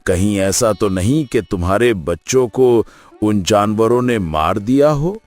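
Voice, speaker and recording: A man's voice: on the low side, animated, measured pace, darkish tone, faint music behind. A man playing a blunt, gloomy forest animal in a cartoon fable, speaking with an ominous manner. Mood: ominous concern. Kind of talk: Hindi cartoon story acting.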